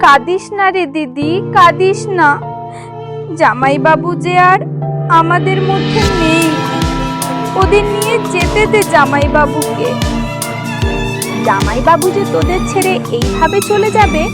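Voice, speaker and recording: A woman plays a mourning younger sister in a cartoon. Her extremely high voice laments and consoles beneath loud violin music. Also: unhurried, pauses often. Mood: mournful. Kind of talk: Bengali cartoon voice acting.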